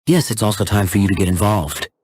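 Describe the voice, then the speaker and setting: Low, husky knight officer. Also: male voice, quick pace, darkish tone. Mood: serious. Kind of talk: English video game voice acting.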